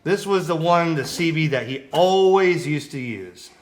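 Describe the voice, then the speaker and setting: A man hosting a casual hobby radio vlog, chatting to camera in an excitable way and often repeating himself. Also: a little high, moderate pace. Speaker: ham radio vlogger, host.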